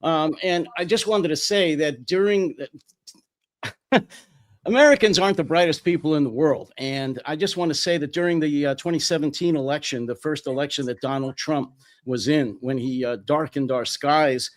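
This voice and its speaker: A man from New York, a guest on an online panel, talking in a halting, self-deprecating way and clearing his throat often. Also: on the high side. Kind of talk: casual discussion.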